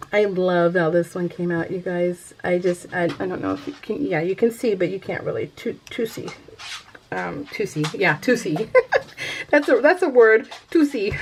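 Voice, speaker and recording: A woman's voice: mid-range voice, a little mellow. Casting: American female craft vlogger, mid-range voice, informal and giggly, rambling delivery with self-deprecating asides and unfinished sentences. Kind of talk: casual chat to viewers while showing a craft project.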